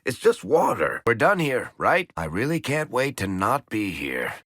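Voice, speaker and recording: A man's voice: medium pitch, wildly swooping pitch, a little slow, fairly bright tone, no room sound, exaggerated voice. A man voicing a wry dwarf storyteller and rogue in a video game, full of dry, sardonic quips. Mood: grumbling. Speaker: video game companion character.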